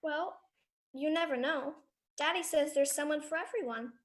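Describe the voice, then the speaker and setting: A woman's voice: sits high, moderate pace, close to an everyday voice. A woman playing an earnest, inquisitive little girl with a direct, innocent manner in a live radio drama. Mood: earnest, hopeful.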